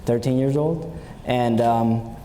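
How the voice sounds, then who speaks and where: A man's voice: medium pitch, takes it slowly, dark tone. A young man from El Salvador, a student panelist, giving plain, brief answers. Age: young adult. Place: event stage in a large hall. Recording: roomy hall with audience, faint noise.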